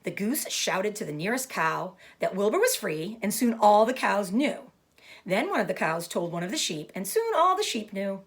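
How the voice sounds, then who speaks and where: A woman giving an expressive storybook read-aloud for children, pausing to explain things simply. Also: mid-range voice. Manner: explanatory, addressing young listeners. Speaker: storybook reader.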